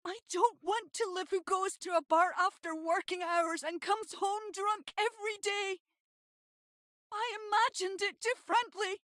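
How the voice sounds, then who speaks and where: A woman's voice: extremely high pitch, steady tone, unhurried, fairly bright tone, dry recording, studio quality. A woman voicing an unhappy, reproachful young wife in a visual novel, with stilted, plaintive delivery. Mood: resigned, bitter. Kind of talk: scripted visual novel dialogue.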